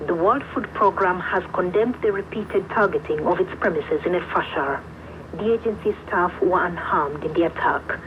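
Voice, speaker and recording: A slightly muffled shortwave signal with faint static carries a woman correspondent. She reads a scripted news report in a fairly low voice. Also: normal speed. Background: faint radio static.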